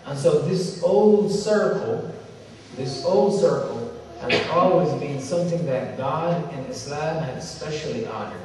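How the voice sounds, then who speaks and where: A man from Somalia giving a religious lecture to an audience, speaking in a measured, repetitive way with slow emphasis and Arabic recitations. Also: on the high side, expressive, crowd noise. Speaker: symposium speaker. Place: large hall with audience. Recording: echoey hall from far off.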